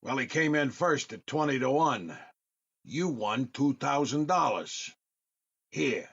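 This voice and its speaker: A man's voice: medium pitch, lively intonation, takes it slowly, gravelly, slightly rough, full character voice. A man playing a businesslike messenger in an old-time radio comedy, in a somewhat muffled recording. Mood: brisk. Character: businesslike male messenger delivering news.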